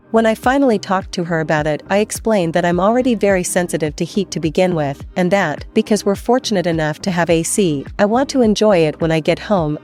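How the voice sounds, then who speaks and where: Brief: woman narrator, fairly low voice, measured scripted read over light music. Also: darkish tone. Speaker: story narrator. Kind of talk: scripted narration of a reddit post.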